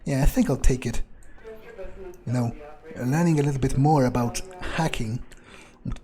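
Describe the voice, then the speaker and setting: A man giving in-character gameplay commentary, thinking aloud and muttering to himself in a halting, musing way. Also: medium pitch, mellow. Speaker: let's player role-playing his game character.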